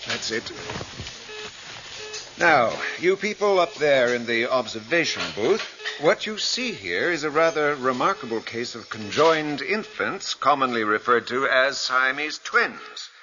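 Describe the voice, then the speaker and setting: A man's voice: medium pitch, big pitch swings, unhurried. A man playing a grave surgeon lecturing medical students in a radio drama, clinical and declamatory. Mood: clinical, instructive. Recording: muffled old radio recording, music behind.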